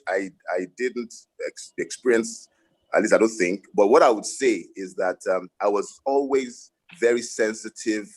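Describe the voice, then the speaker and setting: A middle-aged man from Nigeria, a lawyer speaking on a panel and telling reflective stories with frequent restarts. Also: on the low side. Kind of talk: panel discussion.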